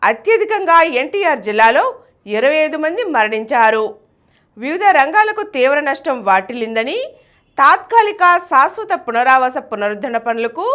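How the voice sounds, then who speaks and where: High, lively woman newsreader. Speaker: news reader. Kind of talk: scripted news reading.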